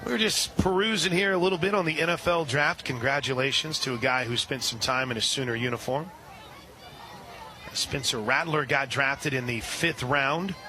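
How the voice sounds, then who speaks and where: A man calling a softball game on the radio, describing each pitch and reading sponsor spots between plays. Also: normal speed, a little hiss or hum. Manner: rises sharply and loud on big plays. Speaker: radio play-by-play announcer. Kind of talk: live softball play-by-play.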